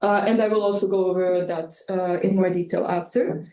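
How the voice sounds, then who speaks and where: A woman whose first language is Serbian presents her volunteer work, pausing often and filling gaps with "uh" and "um". Her voice is mellow, and the room gives it an echoey ring. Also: on the low side, steady tone, slight background noise. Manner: halting, with frequent fillers. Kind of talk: presentation talk.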